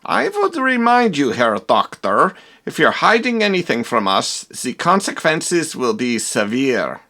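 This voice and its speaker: A man voicing a stern, stiff male police inspector who talks slowly and officiously. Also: mid-range voice, animated, bright, forceful tone. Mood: menacing.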